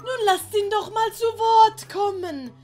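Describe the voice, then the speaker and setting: A woman's voice: very high-pitched, slow, a little mellow, faint music behind. A woman voicing a kindly, pompous, learned older man in an audio drama. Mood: fatherly, solemn.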